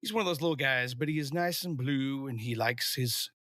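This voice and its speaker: A man's voice: medium pitch, brisk, sharp tone, no echo, clean studio sound. A man chatting casually as a player on a tabletop role-playing show. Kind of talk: casual chat.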